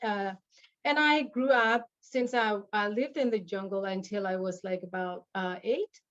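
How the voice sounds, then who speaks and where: Woman from on a video call, earnest and halting, lots of restarts, kinda muffled dull audio. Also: mid-range voice, fairly even intonation, fairly bright tone. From Burma. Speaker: guest speaker. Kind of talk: personal story at an online panel.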